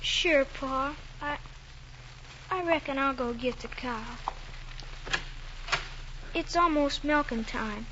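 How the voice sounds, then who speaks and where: A man's voice: very high-pitched, takes it slowly, pauses often. A young male actor playing an anxious, honest country boy with a Southern American accent, hesitant and polite, in old-time radio drama style. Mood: resigned, gentle. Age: child. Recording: muffled, noisy old radio recording.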